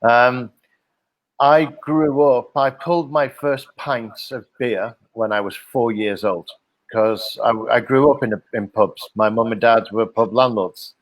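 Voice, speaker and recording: A man's voice: medium pitch, steady tone, slow, dry recording, poor audio. A middle-aged man from Liverpool with a Liverpool accent, guest on a casual interview podcast, chatty and jokey, talking with plenty of "uh" and "um". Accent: Liverpool English. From England (Liverpool). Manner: chatty, jokey storyteller with frequent "uh" and "um". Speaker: guest, hospitality company director. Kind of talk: casual interview over video call.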